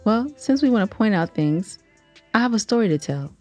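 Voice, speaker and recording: A woman co-hosting a podcast, telling a story in casual banter with teasing, dramatic, drawn-out emphasis. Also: medium pitch, expressive, a little mellow, soft background music. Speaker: podcast co-host.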